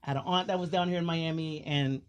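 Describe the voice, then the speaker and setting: A man's voice: fairly high pitch, steady tone, quick pace, lo-fi sound. A man from Jamaica who owns a restaurant, chatting as a guest on a talk show with frequent fillers and restarts. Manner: hesitant, many restarts and fillers. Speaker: restaurant owner. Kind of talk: casual talk-show chat.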